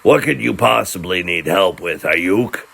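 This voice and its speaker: A man voicing an evil fantasy king in a storybook tale, imperious, threatening and disdainful, with a gravelly voice. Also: sits low, expressive, unhurried, sharp tone, heavily acted.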